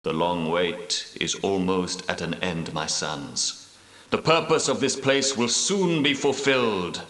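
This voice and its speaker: An actor playing an ancient, solemn leader of holy knights in a video game, speaking gravely and formally, with portentous weight. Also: male voice, low-pitched, slow, a bit bright. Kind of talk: video game dramatic dialogue. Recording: roomy echo, distant from mic.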